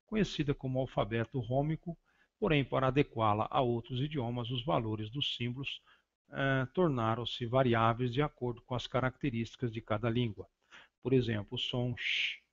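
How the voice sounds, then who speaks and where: A man teaching a class on phonetics in a halting, lecturing way, restarting phrases and often saying "então". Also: mid-range voice, muffled recording. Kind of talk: recorded lecture.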